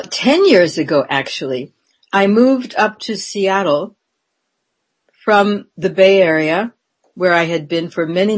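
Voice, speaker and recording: A woman in her seventies with a somewhat low, husky voice. She talks in a meandering, reflective way, circling back on her thoughts with ums and restarts. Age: 70s. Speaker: interviewee. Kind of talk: reflective conversational interview.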